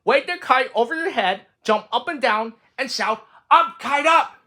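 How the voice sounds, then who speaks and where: A calm, kindly frog, voiced by a man reading aloud, who speaks gently and encouragingly. The voice stays fairly bright and lively, only a little above the reader's own. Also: extremely high pitch, normal speed, pitched above the performer's own voice, full character voice. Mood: patient.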